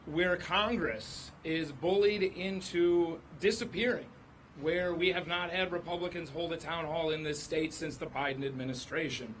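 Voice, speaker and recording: A hoarse man, a state senator, speaking at an outdoor press conference, stacking up a long list of grievances. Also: on the high side, normal speed, fairly bright tone, a little hiss or hum, poor audio. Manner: building lists of grievances.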